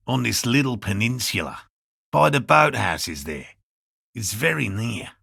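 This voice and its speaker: An actor playing an earnest, stubbornly hopeful elderly male cryptozoologist in a video game, speaking with a gravelly rasp and a formal, pedantic manner. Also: mid-range voice, pushed and bright, dry recording, clean studio sound, exaggerated voice. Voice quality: gravelly, raspy. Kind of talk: video game character dialogue.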